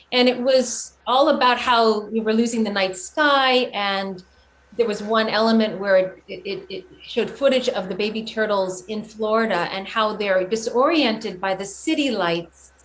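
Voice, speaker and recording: A woman giving a casual interview about her own work, speaking earnestly and haltingly as she thinks out her explanations. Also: mid-range voice. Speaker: guest, children's singer and teaching artist. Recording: clear steady background noise.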